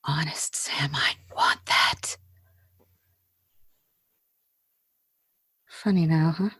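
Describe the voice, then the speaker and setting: A woman playing a jaded, frightened film star from the slums in a 1940s-style radio drama, breathy, halting and slangy, brooding with bitter wistfulness. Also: low voice, sharp tone, no room sound, in a whisper, studio quality, heavily acted. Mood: wistful. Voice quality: husky, breathy.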